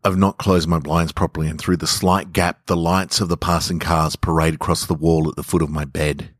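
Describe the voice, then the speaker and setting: A man voicing a lonely, sleepless lover in a brooding, measured first-person story reading. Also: low voice, level tone, no room sound, clean studio sound. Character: lonely, sleepless lover lying in a hotel bed.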